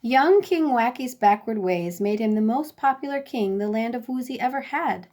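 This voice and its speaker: A woman storyteller reading a children's bedtime story in a deliberate, expressive way. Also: on the high side.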